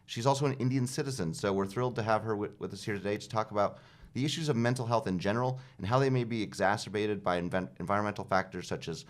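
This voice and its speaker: A man hosts a radio interview from the studio, asking questions in a friendly manner that often halts and restarts. His voice sits on the lower side. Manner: friendly, halting, lots of fillers and restarts. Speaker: host.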